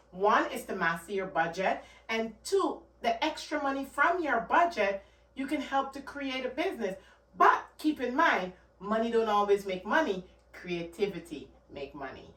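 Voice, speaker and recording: A woman's voice: mid-range voice, lively intonation, moderate pace, a bit bright, far from the mic. A woman from Guyana with a Guyanese accent, a channel host giving earnest, emphatic money advice to camera. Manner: earnest, repeats points, emphatic. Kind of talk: casual talk-to-camera advice. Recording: echoey room, off the mic.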